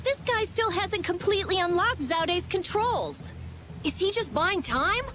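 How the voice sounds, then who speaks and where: A woman voicing a sharp-tongued teenage girl genius, brusque and skeptical, in a video game cutscene. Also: very high-pitched, lively intonation, a little slow, music in the background. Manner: brusque, matter-of-fact, skeptical.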